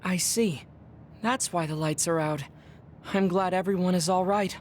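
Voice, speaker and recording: A woman's voice: lowish voice, a little slow, darkish tone, slight background noise, natural voice. A woman playing a polite, formal, dutiful young teammate in an anime game, courteous. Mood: polite, composed. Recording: music clearly behind the voice.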